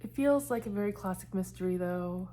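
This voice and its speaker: A woman's voice: mid-range voice, brisk, warm tone, a little hiss or hum. A woman in her thirties chatting casually in a book vlog, meandering and trailing off as she talks. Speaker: book vlogger. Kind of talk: casual chat.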